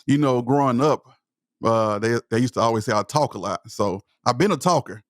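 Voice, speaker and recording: A man's voice: medium pitch, brisk, dry recording, studio quality. A man in his thirties from East Texas with a Southern accent, speaking with conviction and passion as a podcast guest. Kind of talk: podcast interview.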